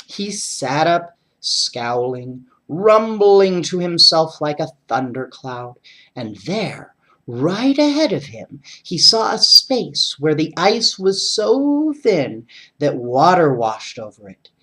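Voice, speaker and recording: A bedtime story reader narrating in an animated storybook style, with chatty asides to children. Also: mid-range voice, slow pace.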